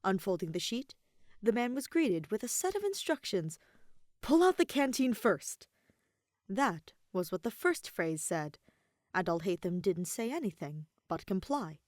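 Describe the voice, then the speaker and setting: A woman reading a scripted story aloud as narrator, measured and phrase by phrase. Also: mid-range voice, big pitch swings, darkish tone, no echo, clean studio sound. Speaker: fan fiction narrator.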